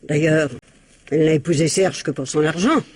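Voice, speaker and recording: A spiteful older woman in a vintage radio whodunit. Her voice is husky and a little low, and she is prim, evasive and quick to defend herself. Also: a little mellow. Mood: sly, disdainful. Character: scheming, spiteful older mother-in-law under suspicion. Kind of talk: old-fashioned French radio mystery acting.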